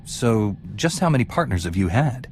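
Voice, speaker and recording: A man playing a calm, self-assured Jedi knight hero in a video game. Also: fairly low pitch, moderate pace, slight background noise. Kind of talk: video game character acting. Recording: music behind the voice.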